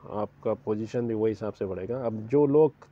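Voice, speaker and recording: A man's voice: lowish voice, normal speed, phone-quality sound. A man hosting his own channel, giving casual career advice that rambles and repeats itself, full of fillers. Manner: rambling and repetitive, with frequent fillers. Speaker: YouTube channel host. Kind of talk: informal career advice talk.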